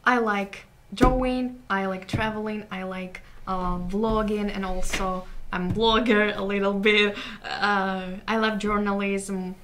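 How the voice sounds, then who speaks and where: A woman's voice: medium pitch, flat delivery, slow, hardly any pauses, a bit bright, a little hiss or hum. A woman from Ukraine with a Ukrainian accent, talking casually as an interview guest and searching for words in imperfect English. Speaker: interview guest, community TV show creator.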